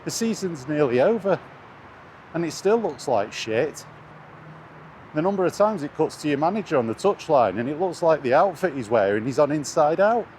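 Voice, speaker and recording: A man's voice: a little high, sing-song, moderate pace, darkish tone. A British man in a casual, sweary rant, speaking bluntly and scornfully as a gaming vlogger out on a walk. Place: outdoors, walking along near traffic. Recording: outdoor street and vehicle noise.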